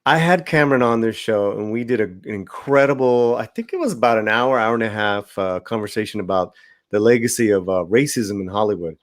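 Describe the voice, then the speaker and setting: A man hosting a casual livestream chat over a video call, relaxed and chatty, with loose fillers. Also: fairly low pitch, fairly quick, no room sound, studio quality. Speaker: host.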